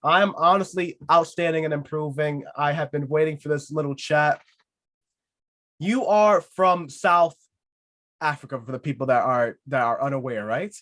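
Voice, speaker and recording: A man's voice: fairly high pitch, moderate pace, fairly bright tone. Playful rambling man, podcast host. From United States. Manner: playful, rambling, many restarts. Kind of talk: casual interview chat.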